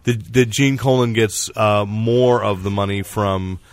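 A man hosting a casual comics podcast, chatting in a halting, digressive way with some teasing banter. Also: on the low side, fairly even intonation. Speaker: podcast host and editor-in-chief.

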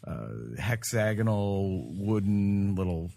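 Halting male podcast host, dry close sound. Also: fairly low pitch, gravelly, mellow, dry recording. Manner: hesitant, halting explanations that grope for words. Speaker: podcast host reviewing games. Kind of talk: casual unscripted review chat.

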